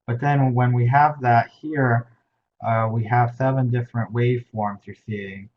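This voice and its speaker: A soft-spoken young man teaching a webinar tutorial, halting with frequent fillers as he walks through the steps, recorded through a webcam microphone. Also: medium pitch, steady tone. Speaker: teaching assistant. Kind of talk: webinar screen-share tutorial. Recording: muffled webcam audio with faint hiss.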